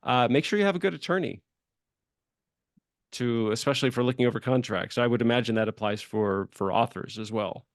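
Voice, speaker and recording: A man's voice: mid-range voice, fairly quick, dry recording. Chatty male podcast host, close dry sound. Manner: chatty, rambling, affirming. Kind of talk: casual conversational interview hosting.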